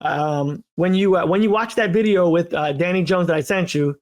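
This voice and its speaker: A man hosting a podcast in casual chat, rambling and animated, often repeating and restarting his words. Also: high voice, moderate pace. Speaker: podcast host.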